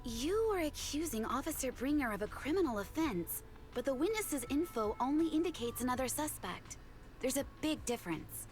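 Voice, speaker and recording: Prim deputy chief woman, high pitch, melodic, smug, precise, game dialogue, music behind. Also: lively intonation, moderate pace. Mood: smugly polite. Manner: formal, precise. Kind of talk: anime-style game voice acting.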